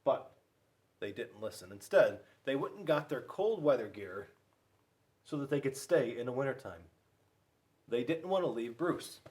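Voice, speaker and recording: A man reading a children's storybook aloud, with dramatic pauses and emphasis. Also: medium pitch, very animated, darkish tone, far from the mic. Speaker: school resource police officer reading to children.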